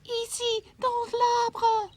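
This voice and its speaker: A man voicing an excitable little French girl doll who speaks with a French accent and sounds breathless and pleading. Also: very high voice, very slow, slight background noise, pitched above the performer's own voice, heavily acted. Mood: desperate.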